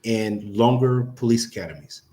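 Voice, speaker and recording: A man's voice: mid-range voice, moderate pace, warm tone. A man on a podcast firmly repeating his points in a casual debate. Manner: firm, repeats key points.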